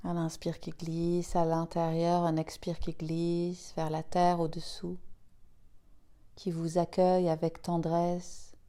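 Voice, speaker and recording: Very slow, mellow woman guiding relaxation. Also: on the low side, monotone. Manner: soothing, unhurried, gentle, with spaced phrases. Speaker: yoga nidra guide. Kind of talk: slow guided relaxation meditation.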